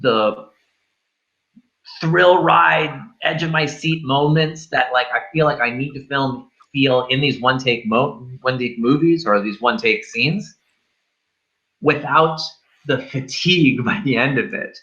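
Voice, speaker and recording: A man's voice: mid-range voice, slow pace, pushed and bright, highs cut off. A man co-hosting a film review show, talking casually in a rambling, enthusiastic way full of superlatives.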